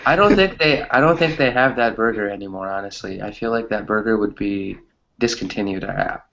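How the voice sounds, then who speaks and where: A man's voice: lowish voice, brisk, creaky, fairly bright tone. A man chatting casually as an online class teacher, hesitant and often repeating phrases, on slightly muffled audio. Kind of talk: casual chat with students.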